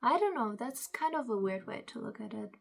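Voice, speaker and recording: A woman's voice: fairly high pitch, expressive, a little fast, creaky, fairly bright tone. A woman who is not a native English speaker, with a non-native accent, giving casual opinion commentary and reading aloud, often stumbling over words and correcting herself. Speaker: commentary video host.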